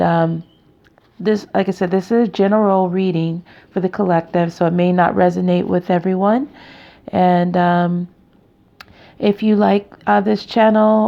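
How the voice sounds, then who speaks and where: A tired woman with a raspy voice, hosting a casual card reading in a halting, chatty, informal way. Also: on the low side. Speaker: tarot reader, channel host. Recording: dull, muffled lo-fi sound.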